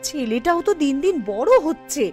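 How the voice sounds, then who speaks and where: A woman voicing an outspoken young village housewife in an animated cartoon story, brisk, homely and nagging. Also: sits high, soft background music. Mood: exasperated.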